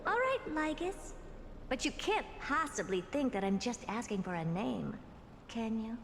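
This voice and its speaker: A haughty young genius in a video game, her voice fairly high and very animated. She muses aloud with imperious, theatrical curiosity. Long reverb hangs around her words. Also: measured pace, noisy background. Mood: demanding, smug. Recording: long hall reverb, music underneath.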